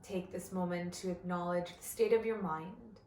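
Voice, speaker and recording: A woman leading a guided body scan meditation as an instructor, gentle and measured, with long pauses between phrases. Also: lowish voice, steady tone, reverberant, slight background noise.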